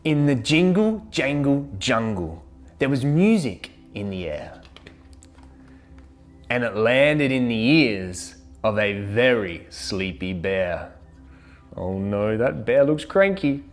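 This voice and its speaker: Female picture-book reader for young kids: low voice, slow delivery, expressive and dramatic, with big swings in pitch. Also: faint music behind. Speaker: children's story reader and host. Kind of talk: animated read-aloud of a rhyming picture book.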